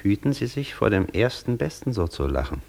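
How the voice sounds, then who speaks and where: An actor playing an imperious, easily irritated scholar in a German radio play, lecturing with pompous condescension. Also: male voice, fairly low pitch, big pitch swings, a little fast, gravelly, a little mellow, heavily acted. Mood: pompous, amused. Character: imperious, vain old scholar Faust, easily irritated, dictating.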